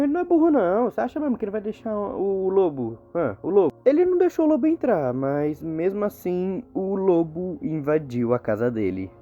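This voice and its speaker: A man with a Brazilian Portuguese accent narrating an informal, slangy storytelling recap as a video narrator. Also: sits high. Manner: colloquial, slangy. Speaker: video narrator explaining horror series. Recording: muffled phone-like audio, music behind. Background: background music.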